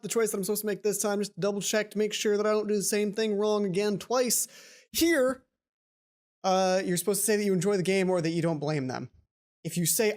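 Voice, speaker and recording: A woman hosting a let's play video in a casual, rambling, self-deprecating way, given to exclamations. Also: fairly low pitch. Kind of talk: casual game commentary with read-aloud dialogue. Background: game music.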